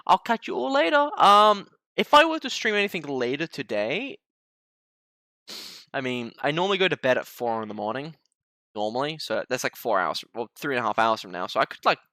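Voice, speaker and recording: Young male streamer signing off, rambly, bright forceful voice with lots of pitch swing. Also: no echo. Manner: stumbles over words, rambling. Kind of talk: casual end-of-stream chat.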